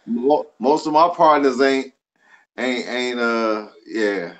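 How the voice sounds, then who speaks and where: Forties guy guesting on a stream, middle pitch, laid back, lots of uhs. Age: 40s. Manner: laid-back, halting with uh fillers. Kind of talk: casual live-stream chat.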